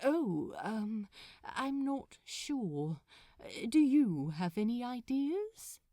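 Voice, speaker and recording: Storybook role: fretful, well-mannered gentleman played by a woman, hesitant, with swooping intonation. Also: on the high side, big pitch swings, extremely slow, pitched above the performer's own voice, full character voice. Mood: shy, polite. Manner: hesitant, polite, anxious. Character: flustered, fretful, politely proper man, Aziraphale.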